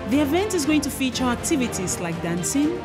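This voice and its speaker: A woman's voice: high voice, sing-song, a little fast, over loud music. A woman presenting a television programme in a formal announcer manner. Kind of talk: scripted introduction and interviewing.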